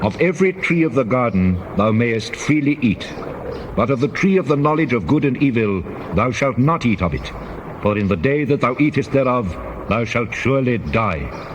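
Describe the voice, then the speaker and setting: A man's voice: mid-range voice, very animated, moderate pace, slight background noise, natural voice, rough recording. Man playing a commanding God with sweeping intonation. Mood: solemn, commanding. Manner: solemn, commanding, declamatory. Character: God the creator, commanding, stern male voice. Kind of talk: dramatized scripture reading.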